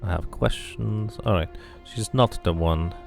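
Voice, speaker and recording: Low, creaky-voiced man streaming a game. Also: a little slow, soft background music. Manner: muses aloud while playing. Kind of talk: casual playthrough commentary and read-aloud narration.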